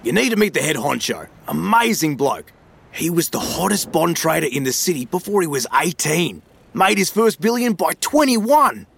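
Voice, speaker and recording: An actor playing a slippery, matey Australian financial dealer in an audio drama, full of slangy, glib sales patter. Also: male voice, fairly high pitch, big pitch swings, normal speed, vocal fry, fairly bright tone, breathy edge, exaggerated voice. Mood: enthusiastic, selling.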